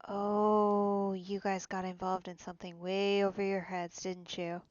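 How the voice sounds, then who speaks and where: A woman's voice: mid-range voice, level tone, a little slow, darkish tone, dry recording, highs cut off. A woman giving casual live commentary as she streams a game. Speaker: let's play streamer.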